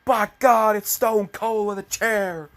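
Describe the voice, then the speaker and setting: Overexcited male wrestling announcer, played by a man reading aloud, voice pushed high, frantic disbelieving play-by-play. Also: steady tone, slow, fairly bright tone. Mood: frantic excitement.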